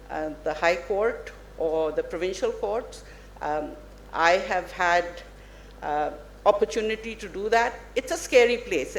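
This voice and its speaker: A woman speaking on a conference panel, earnest and persuasive, pausing to think as she talks. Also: medium pitch. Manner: earnest, persuasive, thoughtful with pauses. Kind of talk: panel discussion. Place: conference hall. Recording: echoey conference hall with faint noise.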